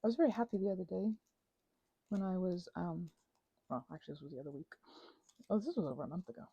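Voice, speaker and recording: A woman's voice: lowish voice, fast, vocal fry, warm tone, slightly rough, no room sound, dull audio. A woman chatting casually and hesitantly in a meandering craft ASMR ramble, close to the mic. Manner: hesitant, meandering, self-interrupting. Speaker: host of a craft ASMR channel.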